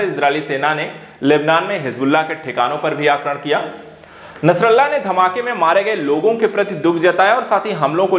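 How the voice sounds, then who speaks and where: A man teaches. He explains current affairs emphatically, circling back over his points like a coaching instructor. The room around him rings with a clear echo. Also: fairly high pitch, a bit bright. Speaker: teacher at an exam coaching academy. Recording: echoey room.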